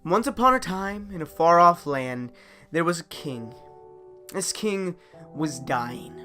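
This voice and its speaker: A man casually improvising a story as host, halting and thinking aloud as he goes. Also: fairly high pitch, animated, pushed and bright, soft background music.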